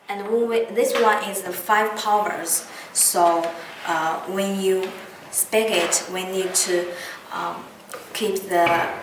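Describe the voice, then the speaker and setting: Non-native english woman doing a product demo, slow and halting, bit far from mic, faint noise behind. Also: mid-range voice. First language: not English. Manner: halting, hesitant speech with frequent pauses mid-phrase. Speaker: product demonstrator. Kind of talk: unscripted product demonstration. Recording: roomy echo, distant mic, background noise.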